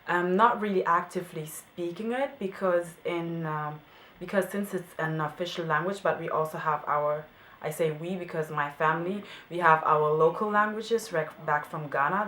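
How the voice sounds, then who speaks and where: Low-voiced woman thinking aloud. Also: a little hiss or hum. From Germany. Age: young adult. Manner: relaxed, thinking aloud with many fillers. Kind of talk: casual multilingual self-introduction. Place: her home in France.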